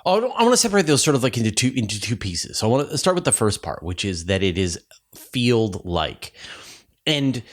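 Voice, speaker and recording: A man's voice: mid-range voice, sing-song, normal speed. A man hosting a conversational science interview, inquisitive and thinking aloud as he rephrases things for a lay audience. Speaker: interview host.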